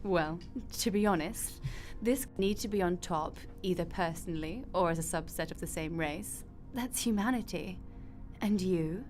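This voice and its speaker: A woman's voice: lowish voice, moderate pace, a little mellow, soft background music, lightly acted. A woman acting in a radio drama as a breezy, glib God who runs the afterlife with chatty, flippant matter-of-factness. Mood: matter-of-fact explaining.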